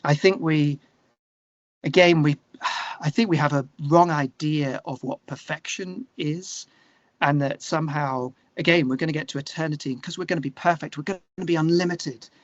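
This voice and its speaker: A man talking casually in an interview, opinionated and animated, thinking aloud and correcting himself as he goes. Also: fairly high pitch, no echo, muffled recording. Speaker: interview guest, musician and songwriter.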